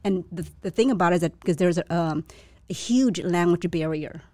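A woman's voice: lowish voice, fairly quick, dark tone, no room sound, clean studio sound. A young woman born to a Chinese family in Vietnam, speaking as an interview guest in a hesitant, reflective way with many restarts. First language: a Chinese dialect. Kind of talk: casual interview.

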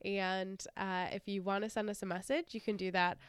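A chatty woman co-hosting a podcast. Her voice is a bit nasal from a sinus infection, yet it stays very clear and smooth. The sound is dry and close, and she laughs and swears easily. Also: mid-range voice, flat delivery, normal speed, dry recording. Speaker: podcast co-host. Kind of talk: casual podcast chat.

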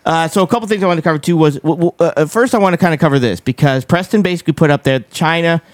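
Higher-voiced man hosting a live show. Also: racing, no echo, studio quality. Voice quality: squeaky. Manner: emphatic, repeats phrases for stress. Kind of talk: casual live political and economic commentary.

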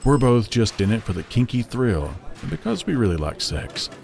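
A man voicing a cool, dominant male animal husband in a story, blunt and dry with quiet amusement. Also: mid-range voice, big pitch swings, normal speed, gravelly. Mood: calm, assured.

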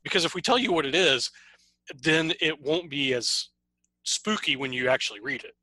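A man's voice: fairly high pitch, animated, normal speed, sharp tone, no echo, poor audio. A man co-hosting a casual podcast, summarizing a story and playfully teasing his co-host. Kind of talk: casual book summary and chat.

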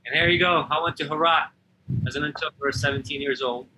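A young man, a podcast guest telling his life story in an earnest, halting way. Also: fairly high pitch, fairly even intonation, bright, forceful tone, slight background noise, poor audio. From Afghanistan. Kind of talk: personal storytelling.

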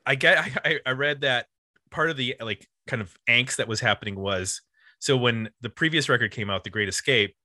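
Bright-voiced man, casual podcast host. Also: medium pitch, normal speed, no echo, clean studio sound. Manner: rambling, uses 'like' constantly. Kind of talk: casual music discussion.

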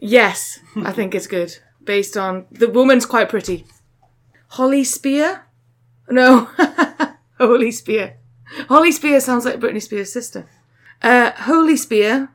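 A woman's voice: fairly high pitch, slow. A woman hosting a podcast, joking her way through card art in casual chat. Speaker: podcast host.

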